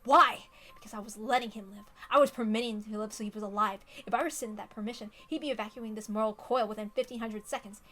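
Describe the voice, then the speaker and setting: A woman's voice: mid-range voice, fairly even intonation, fast talker, few pauses, a bit bright, slight background noise, natural voice. A woman voicing a teenage boy consumed by murderous rage in an intense, dramatic visual novel monologue. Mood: cold menace.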